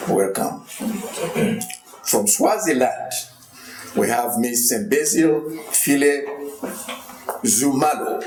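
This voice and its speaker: A man's voice: mid-range voice, slight background noise. Man introducing a panel, echoey hall. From Nigeria. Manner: measured, with frequent hesitations. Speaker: conference moderator introducing panelists. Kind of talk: formal introductions. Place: university conference hall.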